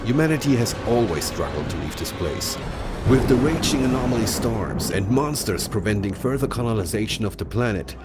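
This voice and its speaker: A man presenting a game showcase in scripted, measured, explanatory promotional narration. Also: on the low side. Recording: voice-over with clear music behind.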